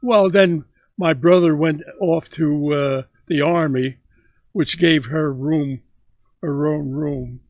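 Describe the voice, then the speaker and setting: Elderly man in his 90s, slow and halting, slightly high voice, dry close sound. Grandfather recounting memories. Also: fairly even intonation, darkish tone, no echo. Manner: slow, halting recollection with many pauses. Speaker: grandfather telling stories. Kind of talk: casual family interview.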